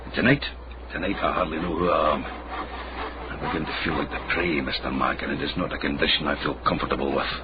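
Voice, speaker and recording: Low-voiced wry Scotsman, noisy recording. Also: fairly even intonation, fairly quick. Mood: wry, uneasy. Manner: dry, sardonic, formal phrasing. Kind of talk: period radio drama acting. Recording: muffled, very noisy radio drama recording.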